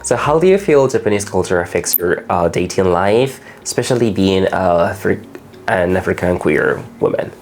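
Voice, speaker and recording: A woman's voice: rumbling low, lively intonation, normal speed, a little mellow, soft background music. A woman interviewing casually, echoing answers in short reactions and asking follow-up questions.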